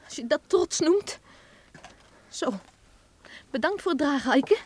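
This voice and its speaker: A woman's voice: sits high, expressive, unhurried, slight background noise. A woman acting in a radio drama as a proud, reserved young woman, firm and reproachful with her suitor.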